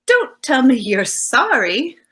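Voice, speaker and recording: A woman's voice: high-pitched, sing-song, slow, sharp tone, exaggerated voice. A woman playing an affectionate, playful detective's wife in 1940s radio drama style, with coy banter. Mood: affectionate, teasing.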